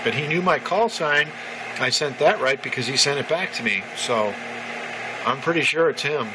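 A man narrating a casual hobby vlog as a radio amateur, informal and halting as he thinks aloud. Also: on the high side, animated. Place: small indoor home radio room. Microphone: handheld camera or phone mic. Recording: very noisy handheld camera, radio noise behind. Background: loud radio noise.

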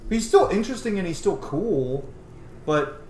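A man's voice: sits high, very animated, moderate pace, darkish tone, slight background noise. Chatty male gamer in a roomy space. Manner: enthusiastic, chatty asides. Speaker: game streamer and video host. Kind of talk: casual let's-play commentary. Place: small room indoors at home. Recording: roomy sound, game music behind. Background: video game music and dialogue.

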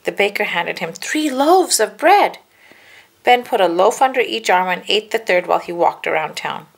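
A woman reading a children's storybook aloud, with careful, deliberate phrasing for young listeners. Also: mid-range voice, animated, gravelly, slightly rough. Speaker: children's book reader. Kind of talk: read-aloud storybook narration.

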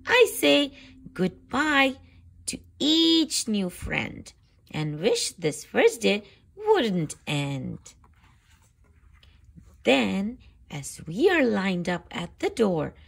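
A woman reading a picture book aloud for young children, with deliberate phrasing and expressive emphasis. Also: on the high side, creaky.

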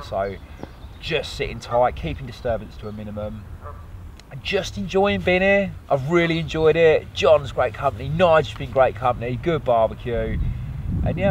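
A man in his forties, an angler talking casually and self-mockingly to camera outdoors by a lake in the cold wind. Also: fairly low pitch. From England. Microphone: camera mic, from a few metres. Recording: distant mic, faint outdoor noise.

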